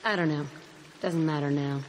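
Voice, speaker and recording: An actress playing an embittered, tough young ex-prisoner in a video game, blunt, terse and profane. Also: female voice, deep voice, steady tone, moderate pace, a little hiss or hum, lightly acted. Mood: bitter, defensive. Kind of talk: video game dialogue acting.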